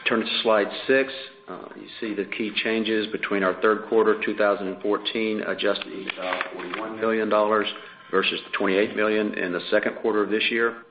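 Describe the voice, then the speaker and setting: A man's voice: lowish voice, little pitch movement, a little fast, background noise. A man speaking as a finance executive, giving a formal, businesslike scripted earnings presentation over a conference phone line. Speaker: chief financial officer presenting results. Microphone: conference call phone line.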